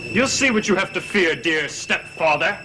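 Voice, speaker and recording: A man's voice: sits high, expressive, moderate pace, background noise. A man acting in a gothic soap opera, playing a defiant, menacing young stepson. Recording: old TV soundtrack, loud music.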